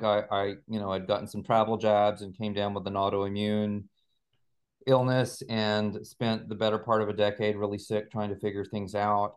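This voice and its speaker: A middle-aged man with a fairly low voice talks as an interview guest. He answers conversationally, explaining his work and views in an earnest way. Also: a little mellow. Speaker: guest, author and healing practitioner.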